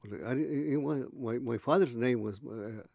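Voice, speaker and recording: Elderly man in his eighties, gravelly and mellow, halting storyteller. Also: medium pitch, no echo, studio quality. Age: 80s. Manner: halting, many restarts and pauses. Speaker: interviewee. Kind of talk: oral history recollection.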